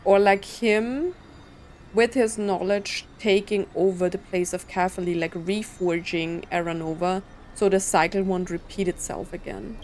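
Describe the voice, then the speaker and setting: A woman's voice: medium pitch, steady tone, measured pace, a little mellow, slight background noise. A woman giving casual playthrough commentary on a game, thinking aloud in a musing, tentative way. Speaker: gaming content creator.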